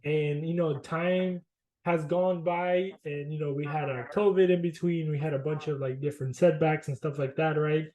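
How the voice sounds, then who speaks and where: A man's voice: a little high, steady tone, moderate pace, mellow, poor audio. A young adult man hosting a podcast, asking loose, filler-heavy questions in a friendly, casual way. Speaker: podcast host. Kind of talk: casual interview chat.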